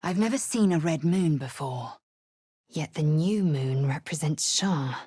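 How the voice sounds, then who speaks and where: A woman voicing a fierce, proud githyanki warrior in a video game, imperious and clipped in manner, with a husky, breathy voice. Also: sits low, lively intonation, a little slow, mellow, dry recording, clean studio sound, full character voice. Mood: wary, blunt.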